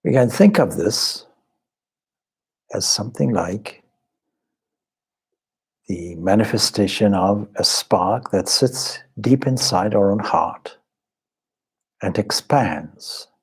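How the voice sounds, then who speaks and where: A man leading a guided meditation as a teacher, speaking in measured, deliberate short phrases with pauses and a soft, husky voice. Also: lowish voice, expressive. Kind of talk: guided meditation, slow spoken instruction.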